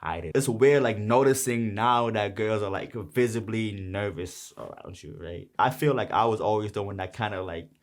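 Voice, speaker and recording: Laid-back young Brooklyn man, lowish voice. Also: moderate pace, hardly any pauses. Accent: New York. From Brooklyn, New York. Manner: laid-back, rambling, slang-heavy. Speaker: rapper explaining his song. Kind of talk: casual lyric breakdown.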